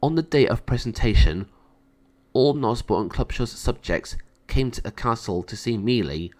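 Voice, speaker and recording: Man narrating with lively intonation. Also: medium pitch, moderate pace. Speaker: storybook reader. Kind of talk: scripted children's story narration.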